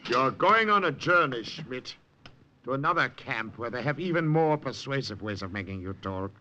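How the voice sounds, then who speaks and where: An actor playing a cruel, imperious German commandant with a menacing, theatrical manner in old radio drama. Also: male voice, mid-range voice, expressive, normal speed, bright, forceful tone, muffled recording. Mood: coldly menacing. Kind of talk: 1940s radio acting. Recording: noisy vintage radio recording.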